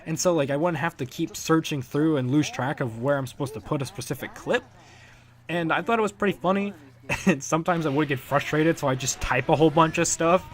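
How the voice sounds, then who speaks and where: A man giving casual, unscripted commentary as the creator of a fan project, rambling and halting as he talks. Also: fairly high pitch. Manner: rambling, halting, chuckling now and then.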